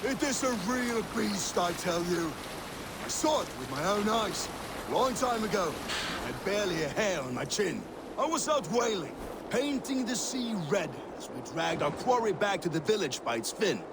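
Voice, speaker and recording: A weathered Norse whaler tells his sea story with earnest drama for a game. The voice is high for a man, creaky and very animated in pitch, amid loud sea noise. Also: moderate pace. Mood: awed, suspenseful. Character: weathered male Viking whaler spinning a dramatic sea yarn.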